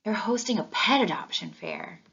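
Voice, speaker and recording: A woman's voice: mid-range voice, wildly swooping pitch, moderate pace, vocal fry, a bit bright, exaggerated voice. A woman voicing an eager, earnest, slightly anxious young schoolboy in a children's storybook, on a lo-fi recording. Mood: earnest, hesitant.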